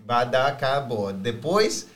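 A man's voice: medium pitch, very animated, a little slow, fairly bright tone. A man from South Carolina in casual chat, hesitant and searching for words. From South Carolina, United States.

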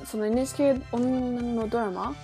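Higher-voiced woman chatting as podcast host. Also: fairly even intonation, a little fast, vocal fry, over music. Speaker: singer. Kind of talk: casual book chat.

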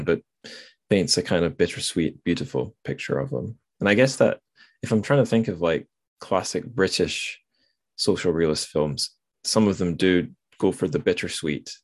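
A man's voice: low-pitched, normal speed. A man hosting a podcast, talking casually about literature in a rambling, musing way as he thinks aloud. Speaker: podcast host.

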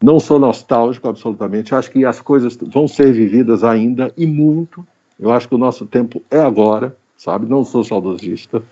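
A man with a mellow, darker voice talks casually as a guest, looping back over his words and leaning on fillers. The sound is a bit dull, as if carried over a video call. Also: medium pitch, brisk. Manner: rambling, repeats words with many fillers. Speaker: guest, writer and journalist. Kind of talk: casual interview chat. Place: home during pandemic.

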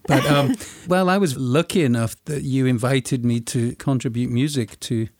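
A man's voice: medium pitch, moderate pace, a little mellow, no room sound. Self-deprecating man co-hosting, dry sound. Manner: modest, self-deprecating humour. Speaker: podcast co-host and composer. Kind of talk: casual podcast conversation.

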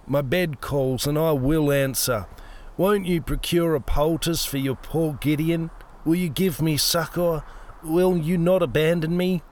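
A man's voice: mid-range voice, lively intonation, unhurried. A man voicing an unhinged young man in his twenties, affected, melodramatic and foppish, in an audiobook over music. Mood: whining, posh.

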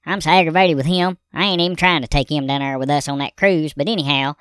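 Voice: mid-range voice, fast, fairly bright tone, clear, resonant tone, no room sound, clean studio sound, natural voice. A performer voicing a rambling, grumbling Southern redneck husband with a folksy drawl, telling home stories on a podcast. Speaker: recurring comedy character. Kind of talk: comedic character monologue.

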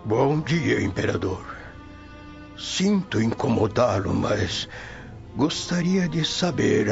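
An elderly Roman patrician in a radio drama with music beneath. His gravelly voice is deferential and formal, and its pitch swings widely on a muffled recording. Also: heavily acted. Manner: courteous, hesitant, formal. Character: elderly Roman nobleman, deferential and easily scandalized father.

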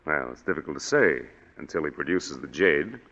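An actor playing a smooth, condescending male psychic consultant in a 1940s radio drama, heard on a dull old broadcast recording with hall reverb. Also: extremely low pitch, animated, moderate pace, pushed and bright, exaggerated voice. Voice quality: smooth, pompous.

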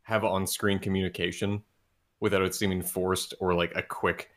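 A man's voice: low voice, level tone, moderate pace. A man co-hosting a casual movie podcast, rambling with lots of restarts and frequent laughter. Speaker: podcast co-host. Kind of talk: casual movie review chat.

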